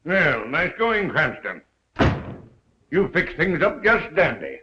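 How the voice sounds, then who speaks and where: An actor playing an exasperated, sarcastic newspaper boss in a 1930s film, brisk and scolding. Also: male voice, fairly high pitch, very animated, background noise. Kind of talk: 1930s Hollywood film acting. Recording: dull 1930s film soundtrack.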